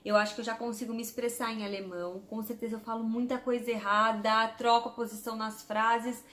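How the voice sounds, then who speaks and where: A woman's voice: fairly high pitch, little pitch movement, a little fast, a bit bright, echoey room. A Brazilian woman in her thirties from Santos, chatting casually to camera as a vlogger and going through lists item by item. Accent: Brazilian Portuguese, from Santos. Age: 30s. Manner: chatty, spells out lists item by item with German words mixed in.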